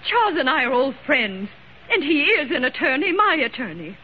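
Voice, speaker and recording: High-voiced melodramatic wife, tinny old radio. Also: female voice, very animated, moderate pace, a little hiss or hum. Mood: defiant. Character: distressed, defiant wife in a loveless marriage. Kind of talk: old-time radio drama acting.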